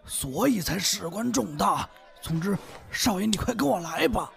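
A man's voice: sits high, animated, moderate pace, vocal fry, fairly bright tone, full character voice. A man voicing an anxious, servile household steward in an audio drama who speaks urgently and deferentially. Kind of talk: fan audio drama acting.

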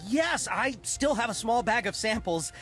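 Friendly trader, slightly high, animated. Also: male voice, normal speed, faint music behind. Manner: hopeful, accommodating. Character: eager merchant caravan leader, friendly.

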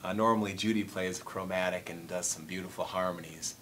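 A middle-aged man with an everyday voice explains harmonicas in a relaxed, chatty way. He sits with others in a curtained convention room, picked up by the camera, with a faint low rumble behind him. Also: fairly quick. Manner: friendly, explanatory, counts out rhythms. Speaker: host and harmonica player. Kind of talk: casual interview and demonstration.

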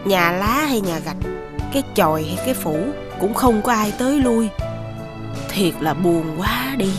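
An actress with a southern Vietnamese accent playing a rich man's discontented, boastful wife in an animated folktale, complaining and self-important. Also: medium pitch, a little slow, lightly acted. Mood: bored complaining. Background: prominent music.

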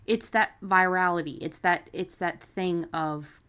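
Female podcast co-host, slightly low voice, unscripted and blunt, conversational with salty language. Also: a little slow, a bit bright, clear, resonant tone. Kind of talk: casual unscripted discussion.

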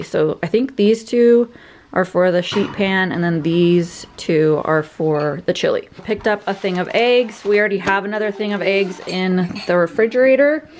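A woman's voice: medium pitch, slight background noise. A young woman chatting casually in her home kitchen while showing off her weekly groceries, upbeat and rambling. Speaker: vlogger. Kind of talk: casual grocery haul chat.

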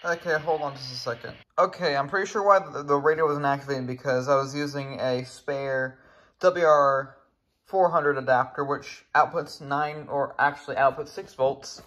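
A man's voice: mid-range voice, steady tone, normal speed, fairly bright tone. A man giving a casual hands-on tutorial as a video creator, recorded indoors at home on a handheld camera. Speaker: video creator demonstrating radios.

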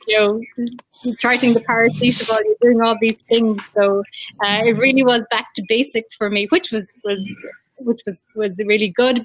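A woman's voice: on the high side, slight background noise, poor audio. A woman in her forties with an Irish accent, a cardiologist talking fluently on a video call, often repeating words as she searches for them. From Ireland. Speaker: interventional cardiologist. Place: small room indoors. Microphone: video call, laptop mic.

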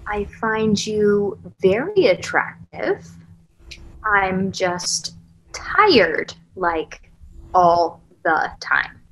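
A woman's voice: mid-range voice, big pitch swings, extremely slow, a bit bright, slight background noise, exaggerated voice, rough recording. A woman in her thirties acting an earnest, defensive girlfriend in a naturalistic table read over a video call. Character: earnest, defensive thirty-year-old girlfriend in a couple's spat. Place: small plain room, on video call.

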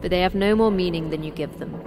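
An actress playing a terse, guarded manager of a secret facility in an audio drama, speaking in a measured, blunt way. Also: female voice, fairly low pitch, over music, lightly acted. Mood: firm, reasoning.

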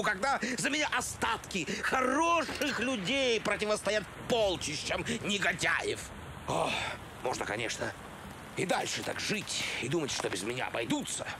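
A fretful old cartoon creature, high-pitched and very animated. He is theatrical and guilt-ridden, with music behind him. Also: gravelly, full character voice. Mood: resigned.

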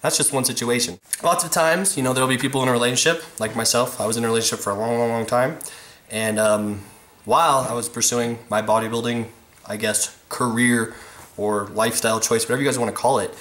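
A man in his twenties talks casually to the camera as a fitness vlogger. His voice sits at an average male pitch, and his delivery is slangy and laid-back, repeating words to drive points home. It was recorded in a living room with clear echo, on a camera mic at about arm's length. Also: steady tone, fast talker, fairly bright tone. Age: 20s. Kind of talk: casual advice talk to camera.

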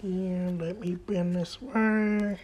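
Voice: mid-range voice, flat delivery, slow, a little mellow, slight background noise. A hobbyist host giving a hands-on repair demonstration, explaining each step in a plain way. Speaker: vintage radio repair hobbyist and video host.

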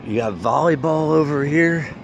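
A man's voice: fairly high pitch, moderate pace, gravelly, dull audio. A man in his forties giving a casual, unscripted walk-around vlog tour, rambling and thinking aloud into a handheld phone. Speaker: vlogger reviewing local bars. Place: outdoors in parking lot and around bar. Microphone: handheld phone at arm's length. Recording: noisy handheld phone recording outdoors.

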